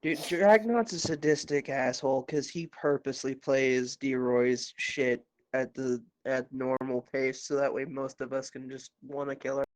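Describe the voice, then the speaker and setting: A man's voice: mid-range voice, steady tone, measured pace, hardly any pauses, no room sound, poor audio. Blunt sweary man, close dry sound. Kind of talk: casual voice-chat banter.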